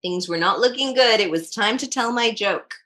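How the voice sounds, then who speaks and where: A woman's voice: a little high, normal speed, a bit bright. A woman hosting a storytime, reading a children's picture book aloud expressively. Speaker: storytime reader and host.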